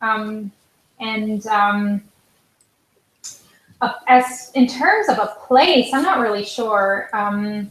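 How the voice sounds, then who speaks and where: A woman's voice: mid-range voice, very slow, pauses often, fairly bright tone, roomy sound, a little hiss or hum, rough recording. A woman from Switzerland with a Swiss German accent, a guest pianist speaking hesitantly with many fillers in a live online interview.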